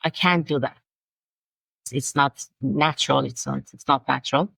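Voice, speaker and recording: A middle-aged woman with a Persian accent, a native Farsi speaker, talking in a casual interview, halting and searching for words with many restarts. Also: very deep voice, fairly even intonation, creaky, fairly bright tone, slightly rough, dry recording. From Iran. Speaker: guest, designer and language coach.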